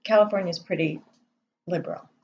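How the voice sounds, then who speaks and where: A woman answering interview questions in an easygoing, halting way. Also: lowish voice, darkish tone. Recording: muffled, off-mic, faint noise.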